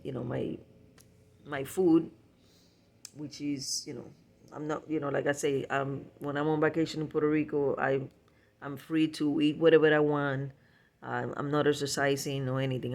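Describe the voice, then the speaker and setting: Man, slightly high voice, casual vlog chat, halting, repetitive, self-correcting. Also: a little mellow. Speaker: vlogger. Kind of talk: casual personal vlog talk.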